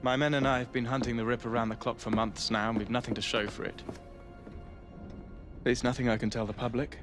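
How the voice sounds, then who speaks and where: Weary Victorian London inspector played by a man, British, mid-pitched, formal and grave, for a game cutscene. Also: level tone, music in the background, close to an everyday voice. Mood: grave, urgent. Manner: grave, formal, measured storytelling. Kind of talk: dramatic video game cutscene acting.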